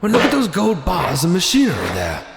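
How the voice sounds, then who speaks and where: A man's voice: sits high, wildly swooping pitch, fairly quick, creaky, loud music behind the voice, full character voice. An actor playing an earnest male doctor companion, eager and exclaiming, in a radio drama. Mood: puzzled.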